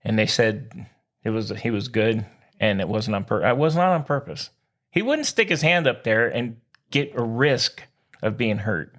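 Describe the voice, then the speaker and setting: A man co-hosting a sports podcast, bantering casually in an excitable, boastful, slangy way. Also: fairly low pitch, normal speed. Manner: excitable, whooping, slangy Yankees-fan boasting.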